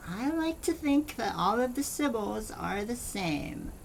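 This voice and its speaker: A woman's voice: fairly high pitch, sing-song, a little slow, gravelly, a little hiss or hum, full character voice. A woman playing a wisecracking, nervous male trainee scholar in an audio drama, in a natural, hesitant manner. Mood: wry.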